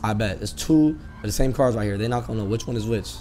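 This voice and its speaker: A man's voice: lowish voice, fast, mellow, slight background noise. A young man in casual roleplay chat, speaking street slang and often repeating his phrases. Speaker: streamer playing a GTA roleplay character.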